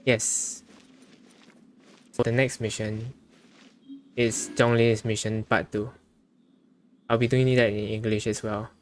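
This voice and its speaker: A man giving casual livestream commentary on a game, offhand, muttering and halting. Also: fairly low pitch, flat delivery, a little hiss or hum. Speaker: game streamer.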